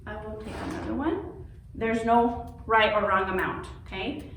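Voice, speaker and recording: A woman's voice: medium pitch, moderate pace, fairly bright tone. A woman giving an informal step-by-step craft demonstration as an instructor, reassuring and often checking in with an "okay". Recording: roomy, distant mic with faint noise.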